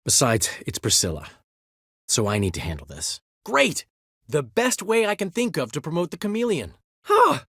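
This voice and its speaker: An actor playing a vain, witty, flamboyant male bard and count in a video game, theatrical and quick to laugh or exclaim. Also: on the high side, huge pitch swings, a bit bright, slightly rough, dry recording, clean studio sound, heavily acted. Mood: chatty, rueful, then playful, mocking.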